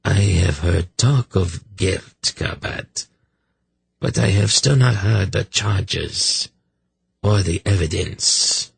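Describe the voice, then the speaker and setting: Man acting hollow, deep, deathly high priest. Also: vocal fry, slightly rough, no room sound, full character voice. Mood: restrained displeasure. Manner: slow, non-committal, authoritative. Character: cold, aged, corpse-like high priest, commanding and sardonic.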